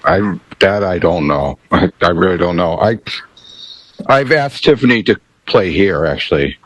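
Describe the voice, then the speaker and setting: A man's voice: low voice, animated, a little slow, no echo, low-quality recording. A man co-hosting a talk show, chatting casually. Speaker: podcast co-host. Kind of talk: casual chat.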